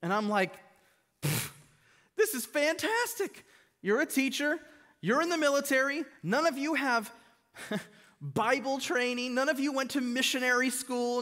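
A man in his thirties preaching a sermon from a pulpit in a church hall, animated and rising to emphatic peaks, reading scripture aloud into a stand mic. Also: very high-pitched. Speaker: guest preacher. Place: church stage, mid-size indoor hall.

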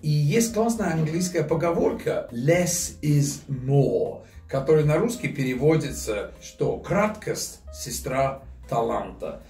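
A man in his fifties talks straight to the camera with a lively, direct manner, his voice sitting a touch high for a man. He is a little far from the mic in a roomy restaurant space, and music runs under his speech. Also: slow pace, few pauses. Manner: lively, direct, talks with his hands while holding a glass. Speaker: video host. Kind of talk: casual advice vlog to camera. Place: indoors in a decorated restaurant room.

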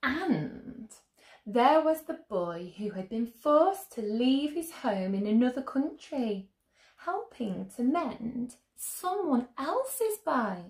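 A young woman reading a picture book aloud to children as a storyteller, expressively, with gentle questions to her listeners. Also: high voice, big pitch swings, slow pace. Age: young adult. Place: small room at home, indoors. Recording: roomy indoor echo.

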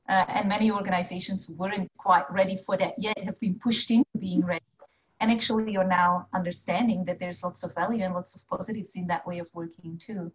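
A woman's voice: mid-range voice, rough recording. A woman whose first language is Dutch, moderating a webinar panel with upbeat, encouraging questions over a video call.

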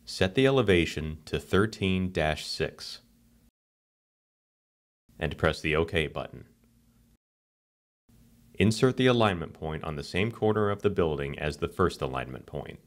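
A man with a very deep voice narrates a software screencast. He reads a script in a calm, even, instructional way, walking through each step without hurry. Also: unhurried, long pauses.

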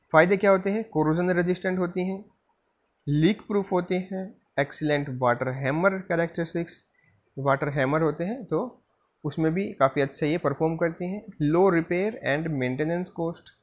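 A man giving a civil engineering slide lecture. He reads each line slowly, then explains it in Hindi, with frequent "theek hai" fillers. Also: on the high side. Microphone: voice-over on screen-recorded slides.

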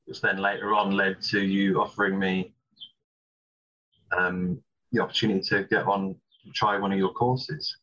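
A man's voice: sits low, level tone, moderate pace, bright, forceful tone. A man, a composer guest, talking hesitantly with many ums. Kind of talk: casual interview chat. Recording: dull lo-fi sound.

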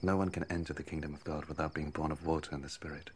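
An actor playing an earnest, authoritative holy teacher in a biblical film drama. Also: male voice, very deep voice, little pitch movement, quick pace, a little hiss or hum. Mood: calm, earnest. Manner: earnest, declarative.

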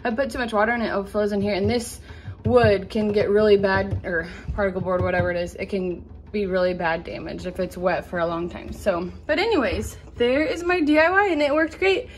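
A woman's voice: mid-range voice, brisk, slight background noise. A woman, casually narrating a DIY project in her home kitchen on a handheld phone, rambling with lots of ums and restarts. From Nebraska, USA. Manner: chatty, rambling, many "um"s and restarts. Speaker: farm vlogger. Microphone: handheld phone camera.

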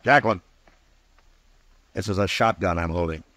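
An American actor playing a steady, stern town marshal in an old radio western, speaking in a measured, laconic way. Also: male voice, low-pitched, no room sound. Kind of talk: mid-century American radio western acting.

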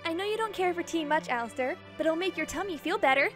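A woman's voice: extremely high pitch, expressive, fast, over music. A woman voicing a small, perky, caring female demon maid, cute and eager, in a cartoon comic dub.

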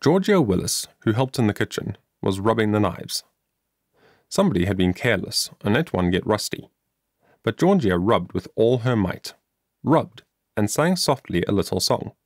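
A man's voice: on the low side, sing-song, moderate pace, no echo, clean studio sound. A man narrating a children's moral story as an audiobook, reading earnestly and expressively from a script.

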